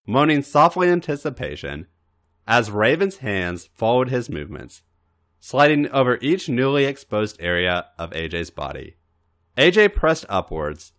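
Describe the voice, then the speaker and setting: A man's voice: on the low side, a bit bright. A man hosting a podcast and reading scripted erotic fan fiction as narrator. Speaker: podcast host and story reader.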